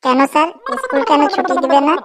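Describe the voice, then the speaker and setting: A woman's voice: sits high, very animated, quick pace, background noise. A woman voicing a cheeky young school pupil in a comedy cartoon, pert and matter-of-fact. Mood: eager.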